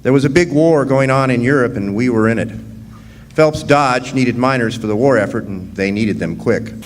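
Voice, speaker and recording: A man's voice: mid-range voice, moderate pace, natural voice. Man acting folksy drifter miner, echoing hall. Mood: plainspoken reminiscing. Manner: folksy, plainspoken, drawling dropped grammar. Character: young drifter miner from 1917, plainspoken and folksy. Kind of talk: first-person radio drama narration and dialogue. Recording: roomy live hall stage, background hiss.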